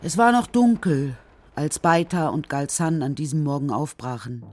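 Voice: mid-range voice, moderate pace, darkish tone. A narrator telling a children's story in a scripted radio drama style.

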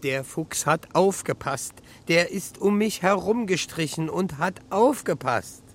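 An actor playing a battered, plain-spoken talking car in a children's radio play, emphatic and proud of being made of metal. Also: male voice, high voice, expressive, measured pace, heavily acted. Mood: curious.

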